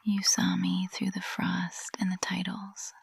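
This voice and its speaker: Woman acting a breathy, whispering witch teacher in love. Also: on the low side, monotone, no echo, exaggerated voice. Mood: soft, reassuring. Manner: slow, hushed, intimate, adoring. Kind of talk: intimate ASMR whispered roleplay. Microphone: close ASMR microphone.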